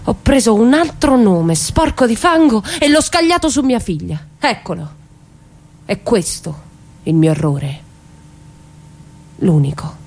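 A woman's voice: mid-range voice, sing-song, measured pace, pauses often, darkish tone. An actress playing a weary, bitter mother living in hiding as a mafia informant, in an intense dramatic stage monologue. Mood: bitter, defiant.

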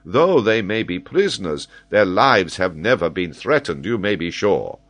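A man voicing an excitable, learned French geographer, speaking eagerly and emphatically as he explains. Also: low-pitched, a little slow, pitched above the performer's own voice, heavily acted. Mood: lecturing. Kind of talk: audiobook character voice.

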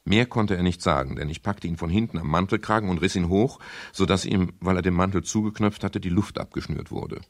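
A man's voice: low voice, fairly quick, natural voice. An actor playing a wry private detective who narrates his own story dryly in a 1970s crime radio play. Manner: dry, laconic, ironic storytelling.

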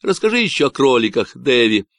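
A man's voice: medium pitch, big pitch swings, fairly quick, creaky, fairly bright tone, no room sound, studio quality, voice pushed up. A man voicing a panicked young man trapped in a box, pleading urgently over a radio.

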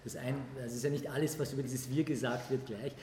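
A man's voice: medium pitch, steady tone, warm tone. A man speaking spontaneously as a lecturer answering an audience, often breaking off and rephrasing his sentences. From Austria. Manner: halting, self-correcting, breaks off and rephrases sentences. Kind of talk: spontaneous spoken answers in a public discussion. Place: indoor public event room. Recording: echoey room with clear background noise.

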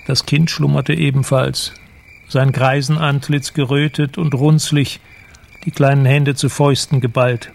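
Casting: male radio drama narrator, fairly high and gravelly, sombre, measured, with background noise.

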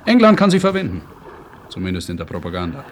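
An actor playing a brisk, commanding English agent in a classic German radio play, curt and sardonic. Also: male voice, low-pitched, wildly swooping pitch. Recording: noisy old radio play recording.

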